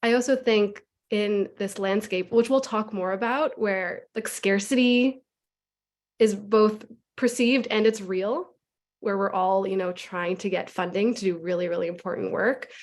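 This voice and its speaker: A woman's voice: mid-range voice, steady tone, unhurried. A woman speaks on an online panel as a nonprofit deputy director. She sounds enthusiastic and conversational, with a mid-range voice and frequent "like"s. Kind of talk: panel remarks.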